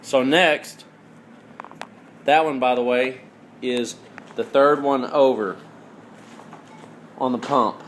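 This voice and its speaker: A man's voice: medium pitch, slow pace, long pauses. Man, DIY mechanic, plainspoken step-by-step demonstration, roomy echo, noisy background. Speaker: DIY mechanic giving a tutorial. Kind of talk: informal hands-on demonstration.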